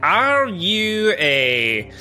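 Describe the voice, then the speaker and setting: A haughty French maitre d' of a posh club in a comic audio drama. The delivery is slow and officious, with a bright, sharp edge and huge swoops in pitch. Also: female voice, sing-song, faint music behind, full character voice. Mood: snooty, officious.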